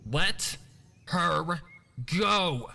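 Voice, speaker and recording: An excitable young cartoon filly acted by a male reader, raised in pitch and very lively. She is bold and eager, exclaiming her lines. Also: drawn-out words, creaky, heavily acted. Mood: alarmed, demanding.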